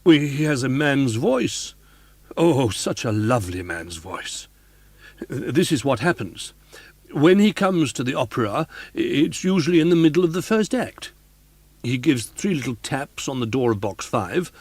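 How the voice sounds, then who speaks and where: A man's voice: mid-range voice, sing-song, a little slow, darkish tone, husky, dry recording, voice pushed up, heavily acted. A man voicing a chatty, superstitious older woman who works as a theatre boxkeeper, talking earnestly. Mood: gossipy. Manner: earnest, gossipy.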